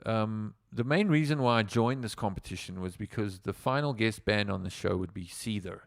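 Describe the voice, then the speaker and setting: A man's voice: on the low side, normal speed, gravelly, dry recording, clean studio sound. A South African man, native Afrikaans speaker, a musician telling stories on a casual livestream in a rambling way with lots of fillers. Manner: rambling, with many fillers and restarts.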